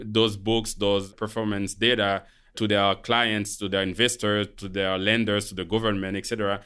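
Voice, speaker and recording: A man from Haiti with a Haitian French accent, calmly explaining his career as a guest on a finance podcast. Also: on the low side, clean tone. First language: Haitian Creole. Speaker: guest, portfolio manager. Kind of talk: podcast interview.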